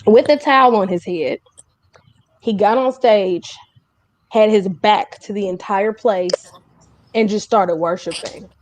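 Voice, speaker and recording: A woman hosting a casual interview chat, telling things in an animated, emphatic way. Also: medium pitch, slow, pauses often, no echo, rough recording. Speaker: host.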